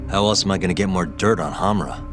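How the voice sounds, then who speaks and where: An actor playing a dogged, wry private detective in a video game, with an understated, dry delivery. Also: male voice, deep voice, fast talker, music in the background, lightly acted. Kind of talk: video game drama acting.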